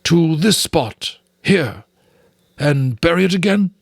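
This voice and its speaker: A commanding male rat leader, voiced by a man reading aloud, grave and deliberate. His pitch is lifted and moves around a lot, in dramatic audiobook style. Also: lively intonation, vocal fry, husky, no room sound, clean studio sound, heavily acted. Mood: deliberate, questioning.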